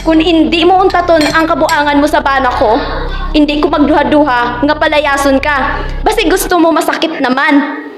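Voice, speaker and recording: A woman's voice: high voice, normal speed, close to an everyday voice. A woman with a Filipino Hiligaynon accent playing a haughty, jealous lady of the house in an amateur radio drama, scolding and taunting. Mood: jealous, threatening. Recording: echoey, muffled, noisy room with music.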